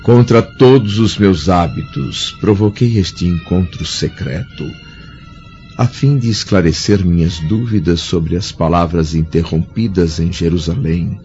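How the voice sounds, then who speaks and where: An actor playing an aged, blind Roman senator, weary but dignified, speaking formally and solemnly in a radio drama. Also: male voice, deep voice, measured pace, mellow. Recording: dull lo-fi radio drama with music.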